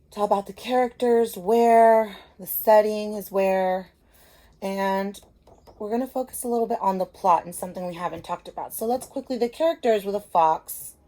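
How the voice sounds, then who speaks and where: A woman's voice: mid-range voice, warm tone, rough recording. A woman teacher giving a casual lesson to children, explaining simply and checking in with short questions.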